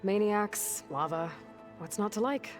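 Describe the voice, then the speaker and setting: A woman's voice: medium pitch, measured pace, close to an everyday voice. Woman playing a wry, determined young heroine, music behind. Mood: wry, caring. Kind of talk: video game cutscene acting. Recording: background music under the voice.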